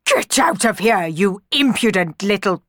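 A woman's voice: medium pitch, expressive, unhurried, fairly bright tone, no echo, voice pushed up. A pompous, bullying male baron from a storybook, played by a woman. He barks and blusters with menace. The delivery swings around, in a very dry recording. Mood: angry, commanding.

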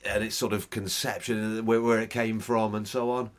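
A man's voice: lowish voice, little pitch movement, normal speed. A man hosting a radio interview in a studio, chatty and self-deprecating, often repeating words as he goes. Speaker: radio host. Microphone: studio microphone.

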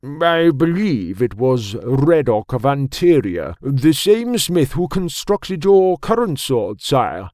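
Man doing a slow, deferential court advisor. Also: medium pitch, very animated, darkish tone, dry recording, clean studio sound.

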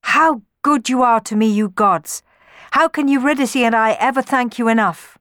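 A woman's voice: lowish voice, steady tone, normal speed, no echo, studio quality, lightly acted. A woman voicing an emotional young man in love from a Greek myth storybook, with a pleading, dramatic delivery. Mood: joyful, grateful. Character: anguished devoted young male lover from Greek myth.

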